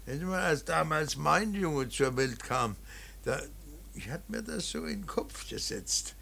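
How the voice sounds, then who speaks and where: A man acting in a radio drama as an earnest, preachy older painter and father, emphatic, repetitive and halting. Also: on the high side, animated, bright, forceful tone, a little hiss or hum, heavily acted. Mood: reverent, moved. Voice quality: gravelly, husky. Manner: emphatic, repeating words, halting.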